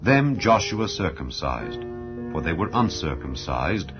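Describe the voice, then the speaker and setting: Male audiobook narrator, low register, solemn and declamatory scripture reading, slightly muffled recording. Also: sing-song. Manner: measured, formal, declamatory. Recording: dull lo-fi audio with background music. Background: background music.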